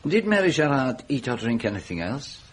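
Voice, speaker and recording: An actor playing a shrewd, courteous foreign detective in a radio drama, speaking in a measured, formal, questioning way with musing hums. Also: male voice, mid-range voice, sing-song, breathy edge.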